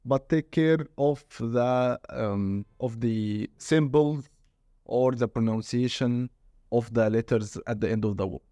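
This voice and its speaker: Man, Egyptian accent, dialect tutor, average pitch, dry recording. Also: takes it slowly, mellow, dry recording. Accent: Egyptian Arabic. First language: Arabic. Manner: explains step by step, asks guiding questions. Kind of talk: casual language lesson.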